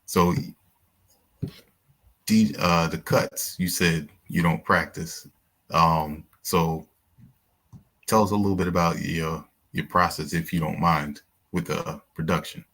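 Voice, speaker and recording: Very deep, husky New York man. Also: takes it slowly, pauses often. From New York City. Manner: relaxed, encouraging questioner. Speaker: podcast host. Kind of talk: casual interview.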